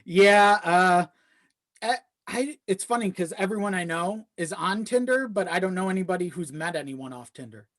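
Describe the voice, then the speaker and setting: A man's voice: sits high, animated, normal speed, a bit bright, no echo. A man chatting as a guest on a podcast, recorded dry and close with little room sound. His talk is loose and conversational, with swearing and a self-deprecating streak. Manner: casual, profane. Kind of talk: casual chat.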